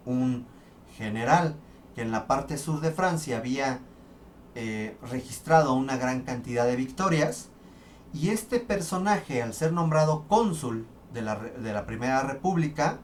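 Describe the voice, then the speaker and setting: A man lectures on history at a middle pitch, talking through each point step by step. He sits some distance from the mic, and a faint hiss runs under his voice. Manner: explanatory, with fillers and restarts. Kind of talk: recorded history lesson.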